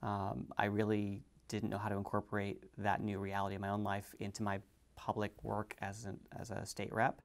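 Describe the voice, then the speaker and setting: A man who is a former state representative, giving a candid, animated personal account in a documentary interview. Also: fairly low pitch, moderate pace, creaky.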